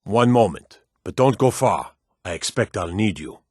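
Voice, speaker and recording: An actor playing a proud, stern middle-aged warrior lord in a fantasy game, with a commanding, measured delivery. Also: male voice, on the low side, lively intonation, a little mellow, slightly rough, dry recording, studio quality. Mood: curt, authoritative. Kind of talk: scripted fantasy game dialogue.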